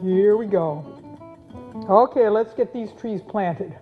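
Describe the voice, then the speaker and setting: A woman voicing an excitable, folksy pioneer farmer in a children's puppet show, full of exclamations. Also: medium pitch, takes it slowly, natural voice. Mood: busy, friendly. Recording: dull lo-fi audio, guitar music behind.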